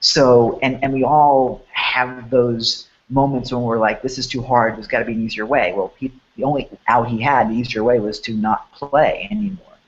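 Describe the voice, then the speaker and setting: A man hosting a promotional online chat who sounds hoarse and sniffly. He talks in an enthusiastic, rambling way with many restarts. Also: medium pitch, normal speed, few pauses, lo-fi sound. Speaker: host.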